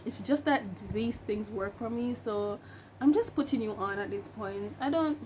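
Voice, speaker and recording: A young woman, hoarse while getting over the flu, talks through a makeup tutorial in a chatty, emphatic way with joking asides. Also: on the high side, moderate pace, darkish tone, slight background noise. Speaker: makeup vlogger, licensed cosmetologist.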